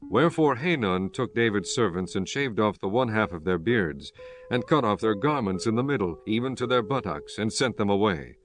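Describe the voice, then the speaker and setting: A man narrating dramatized King James scripture in a measured, solemn storytelling manner. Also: on the low side, expressive, soft background music. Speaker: audiobook narrator.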